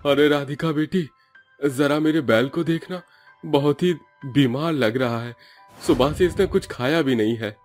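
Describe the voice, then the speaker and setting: A man's voice: on the high side, a little slow, darkish tone, faint music behind, pitched above the performer's own voice, lightly acted. A man voicing an older village farmer in a cartoon story, earnest, respectful and fatherly. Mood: worried.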